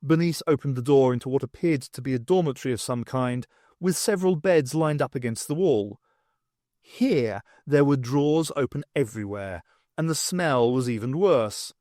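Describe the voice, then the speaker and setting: A man reading scripted fiction as an expressive audiobook narrator. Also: medium pitch, moderate pace, no room sound, clean studio sound.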